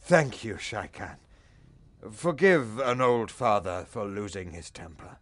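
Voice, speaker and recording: A man's voice: lowish voice, very animated, takes it slowly, fairly bright tone, dry recording, heavily acted. An actor playing a proud, hot-tempered old father and clan leader in a fantasy game, speaking formally and emphatically. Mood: earnest.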